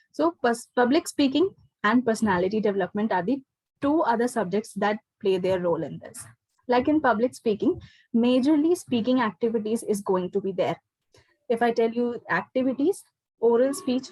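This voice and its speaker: A woman's voice: fairly high pitch, a little mellow, husky, no echo, poor audio. A woman with an Indian accent, a teacher explaining things to a parent, often checking in with "okay" and "right?". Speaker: teacher giving feedback to a parent. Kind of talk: explanatory talk on a video call. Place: indoors, online class video call.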